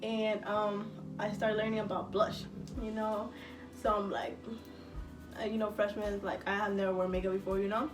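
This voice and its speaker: A woman's voice: mid-range voice, flat delivery, normal speed. An eighteen-year-old woman from El Salvador co-hosting a casual Q&A vlog in a slangy, candid way. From El Salvador, moved to the United States as a child. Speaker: vlog co-host. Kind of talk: casual Q&A chat. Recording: roomy, distant mic, music behind. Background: music.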